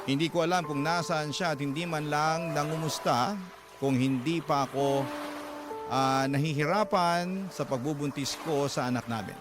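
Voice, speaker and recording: Male narrator for a radio drama, letter reading, somewhat high voice, music bed underneath. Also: moderate pace. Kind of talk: reading a listener's letter aloud.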